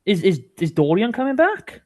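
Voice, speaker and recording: A man co-hosting a sports podcast, talking casually about rugby in a halting way, often repeating words. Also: high voice, very animated, dry recording.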